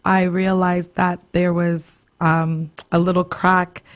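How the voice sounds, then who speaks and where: A woman hosting a casual radio chat, her voice raspy and hoarse after a cough, talking in a halting way and laughing often. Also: lowish voice, flat delivery. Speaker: radio show host, spiritual doula.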